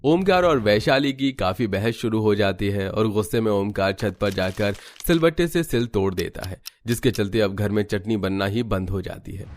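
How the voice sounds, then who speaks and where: A man reading scripted narration for a Hindi animated story as its narrator. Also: lowish voice, normal speed, few pauses.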